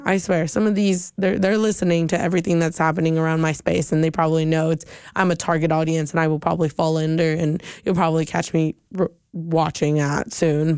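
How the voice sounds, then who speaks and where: A young woman hosting a college radio show, chatting casually and rambling upbeat, her voice slightly hoarse and giving out. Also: low voice, a little mellow, dry recording, studio quality. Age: young adult. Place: radio studio booth. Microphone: studio microphone.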